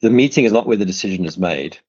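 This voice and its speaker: A man's voice: on the low side, expressive, fast talker, a little mellow, breathy edge, muffled recording. A man with a South African accent hosting an interview, rambling in casual chat with many restarts and repeated words. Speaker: podcast host.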